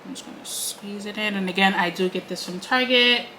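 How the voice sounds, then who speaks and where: A woman's voice: medium pitch, a little fast, pushed and bright, a little hiss or hum. Chatty woman in her late twenties. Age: late 20s. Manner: chatty, frequent "like" and "um" fillers. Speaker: beauty vlogger. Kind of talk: casual makeup tutorial chat. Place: home, indoors.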